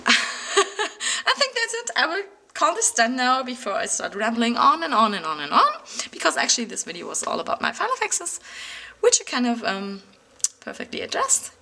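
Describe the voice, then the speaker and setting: A woman's voice: a little high, wildly swooping pitch, moderate pace, gravelly, bright, forceful tone. A woman with a German accent from Berlin, a native German speaker, rambling in casual English with many fillers and restarts to her planner channel's viewers. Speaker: planner vlogger. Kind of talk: casual talk to camera. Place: indoors at a table, overhead camera on hands.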